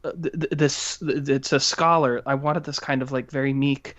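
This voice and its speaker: A man co-hosting a podcast, giving casual criticism in a halting, analytical way. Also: medium pitch, fairly even intonation, fairly bright tone.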